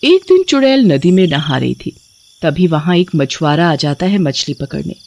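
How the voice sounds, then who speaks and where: A woman's voice: low-pitched, sing-song, moderate pace, a little mellow, slight background noise. Woman narrating a horror story.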